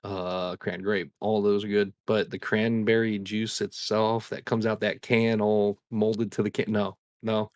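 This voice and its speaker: Low-voiced man, relaxed and hesitant. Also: a little slow, no echo, clean studio sound. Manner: relaxed, hesitant, with many ums. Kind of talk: casual chat.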